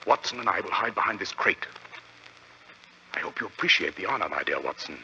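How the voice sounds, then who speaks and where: An actor playing a commanding, sardonic British detective in a 1940s radio drama, crisp and theatrical, on an old recording. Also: male voice, low-pitched, expressive, a little fast, pushed and bright, in a large hall, lo-fi sound, a little hiss or hum, heavily acted. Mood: tense, commanding. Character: commanding, sharp-witted male London detective, sardonic and cool-headed.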